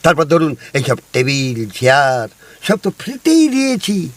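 An actor playing a shrewd, boastful middle-aged landlord in a radio play, with a leisurely, bargaining way of talking. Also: male voice, a little high, very animated, moderate pace. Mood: hearty.

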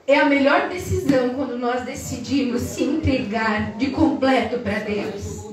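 A young Brazilian woman preaching a Pentecostal sermon in a sing-song, fervent cadence that climbs to shouts, recorded from far across a large tiled church hall. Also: sits high, measured pace, a crowd in the background. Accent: Brazilian Portuguese. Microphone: far camera across the hall.

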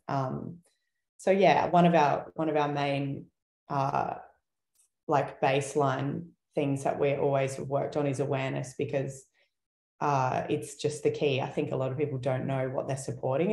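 A young woman talks in a relaxed, rambling way, full of fillers and small laughs. Her pitch stays flat and even. The video call audio carries a noticeable room echo. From Australia. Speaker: guest, wildlife activist. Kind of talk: casual panel discussion.

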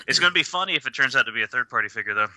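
A man chatting casually as a podcast panelist, halting at times and freely profane. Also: mid-range voice, lively intonation, bright, forceful tone, no echo. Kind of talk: casual chat.

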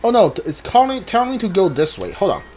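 A gamer talks through a playthrough in a mid-pitched, very animated voice. The delivery is casual and halting, as if working things out aloud, with faint game music behind. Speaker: video game player. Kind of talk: casual let's play commentary. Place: indoors, voice-over on gameplay. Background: video game music.

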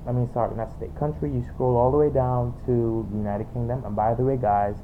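A man with a Trinidadian accent giving an informal, halting software tutorial, explaining off the cuff and repeating himself. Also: mid-range voice, steady tone. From Trinidad and Tobago. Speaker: tutorial presenter. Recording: tinny phone-like home recording, background noise.

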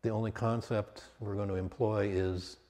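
A man leading a guided meditation as a teacher, speaking calmly and off the cuff in short, measured phrases with long pauses. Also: on the low side. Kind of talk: guided meditation, spoken slowly and unscripted. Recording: long reverberant hall sound.

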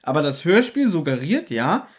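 A man's voice: on the high side, normal speed. A man co-hosting a podcast, casually retelling and discussing the plot of a radio play in detail. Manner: retells plot in detail, animated when joking. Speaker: podcast co-host.

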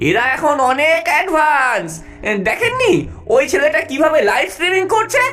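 A woman voicing a centuries-old fish-ghost alien secret agent in a cartoon, excitable and soft-hearted, dramatically exclaiming and pleading. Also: high-pitched, normal speed. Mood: chatty, persuading. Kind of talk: animated cartoon voice acting.

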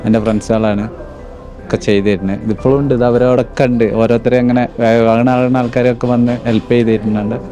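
A man in his thirties interviewed inside his new restaurant, speaking slowly and haltingly with quiet sorrow into a handheld mic. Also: medium pitch, level tone, muffled recording, faint music behind. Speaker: interviewee, cafe owner. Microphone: handheld reporter mic.

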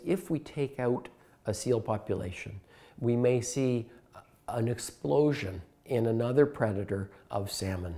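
A man in his sixties, a scientist giving measured, explanatory interview answers. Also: medium pitch, mellow. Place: bright room by a window, indoors.

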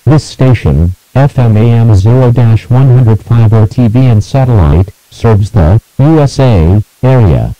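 A man's voice: medium pitch, expressive, measured pace, hardly any pauses, warm tone, dry recording, lo-fi sound. A man reading a scripted emergency broadcast test announcement.

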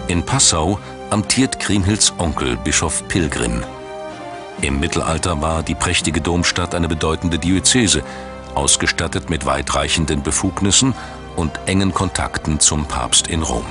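Male documentary narrator, very deep register, scripted delivery over prominent music. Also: a little slow.

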